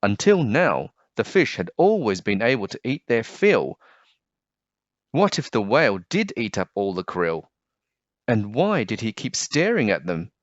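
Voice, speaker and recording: A man narrating a children's picture book in an expressive, scripted read-aloud style. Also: on the low side, a little slow, no room sound, dull audio.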